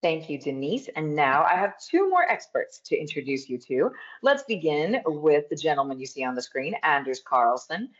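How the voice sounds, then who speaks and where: A woman moderating an online school open house, guiding the audience step by step in a lively way over video call. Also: sits low, normal speed, few pauses. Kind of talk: upbeat event hosting. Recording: dull lo-fi video-call audio.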